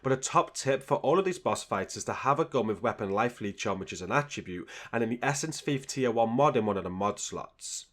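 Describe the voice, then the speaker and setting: A man's voice: medium pitch, expressive, brisk, hardly any pauses, a bit bright. A man hosting a gaming video, reading scripted guide narration in a straightforward, instructional way.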